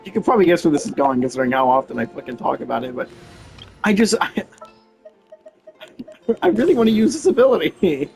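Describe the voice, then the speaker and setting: A man's voice: fairly high pitch, sing-song, fast talker, gravelly, long pauses, a little mellow, breathy edge, rough recording. A man streaming a game with casual, informal commentary, rambling off on tangents. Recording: faint game music behind.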